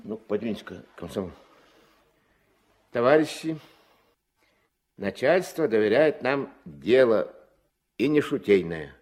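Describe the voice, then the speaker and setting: An actor playing a gruff, resolute riverboat captain in a Soviet radio play, terse and commanding with a folksy, mock-formal manner. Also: male voice, lowish voice, lively intonation, slow pace, long pauses, a little mellow. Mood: solemn, official. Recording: vintage 1970s mono radio recording.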